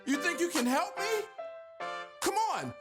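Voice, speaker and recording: Male kids' show host, high animated voice, casual and encouraging, slow bouncy phrasing over prominent hip hop music. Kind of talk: upbeat kids' show intro with sung theme.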